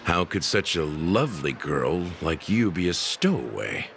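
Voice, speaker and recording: An actor voicing a courteous, confident and reassuring security officer in a video game. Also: male voice, low voice, very animated, a little slow, creaky, fairly bright tone, soft background music, exaggerated voice. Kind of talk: scripted video game dialogue.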